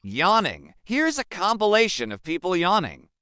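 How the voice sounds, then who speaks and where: A man narrating a short playful sample clip with a drawn-out, teasing delivery. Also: high voice, huge pitch swings, bright, forceful tone, clean tone, no echo, studio quality.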